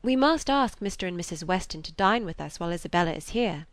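A woman voicing a composed, cheerful young lady in an audiobook, a dutiful daughter who is polite and gently persuasive. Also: on the low side, lively intonation, fast talker, dry recording, lightly acted. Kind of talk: audiobook character reading of a classic novel.

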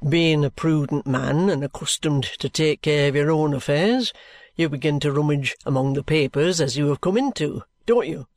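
A reader voicing a sly, genial middle-aged male detective with a cheerful, coaxing, unhurried manner. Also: medium pitch, no room sound, pitched below the performer's own voice, natural voice. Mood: wheedling. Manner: cheerful, coaxing, leisurely drawl. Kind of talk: audiobook character reading.

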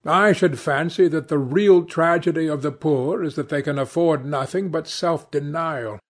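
A man's voice: on the high side, normal speed, voice pushed up, natural voice. A man voicing a witty, cynical aristocrat in an audiobook, with a nonchalant, pompous, epigrammatic manner. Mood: smug, amused. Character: languid witty cynical male aristocrat, urbane and teasing. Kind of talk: audiobook character dialogue.

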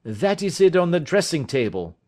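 A man's voice: on the high side, big pitch swings, moderate pace, darkish tone, pitched above the performer's own voice. A man voicing a stubborn, impatient older banker, emphatic and indignant, in an audiobook. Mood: curt. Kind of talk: audiobook character voice.

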